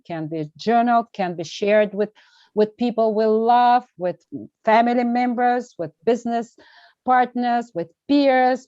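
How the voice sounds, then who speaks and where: A woman with a Bulgarian accent, a guest coach answering in an interview, speaking earnestly and deliberately with emphatic pauses. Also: on the high side, mellow, no room sound, highs cut off. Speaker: guest, well-being coach. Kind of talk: interview conversation.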